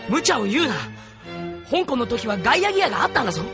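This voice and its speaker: Hot-tempered young male rebel, gravelly creak, wide pitch swings, anime audio drama, music behind. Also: big pitch swings, racing, heavily acted. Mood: worried. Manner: exasperated, earnest.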